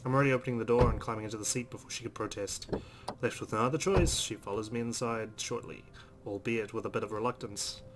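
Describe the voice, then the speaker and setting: A man's voice: fairly low pitch, steady tone, fast, noisy background. A man hosting a let's play, expressively reading a visual novel aloud and adding his own asides.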